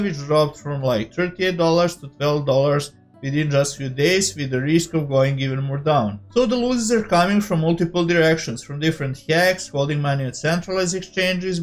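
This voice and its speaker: A man with a slightly high voice and a Serbian accent, a native Serbian speaker, hosts an online channel. He delivers a prepared introduction straight to his audience. Faint music plays behind him. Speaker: host of a cryptocurrency investing channel. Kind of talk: scripted introduction to camera.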